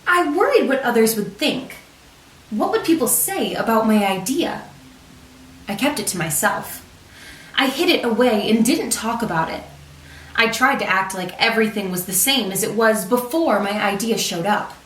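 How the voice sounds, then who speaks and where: A woman reading a picture book aloud in an expressive, deliberate storytelling manner for children. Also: on the high side. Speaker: storybook reader, teacher. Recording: roomy echo, distant microphone.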